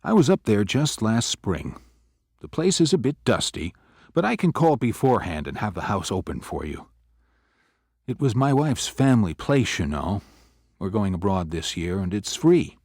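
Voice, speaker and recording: Male actor as an avuncular senior partner, low and lively, dry studio sound. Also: normal speed, no echo. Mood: jovial. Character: older male lawyer boss, jovial and teasing. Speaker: law firm senior partner. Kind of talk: audio drama acting.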